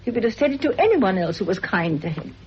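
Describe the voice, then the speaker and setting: An actress playing a meek, self-effacing unmarried teacher who speaks gently and apologetically. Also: female voice, mid-range voice, very animated, fast talker, husky. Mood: resigned. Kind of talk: radio drama acting. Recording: dull old radio recording.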